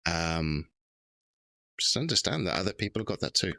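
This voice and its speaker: A man hosting a casual podcast conversation, speaking in a halting way with pauses and repeated words. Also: low voice, sing-song, gravelly, sharp tone, no echo. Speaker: podcast host.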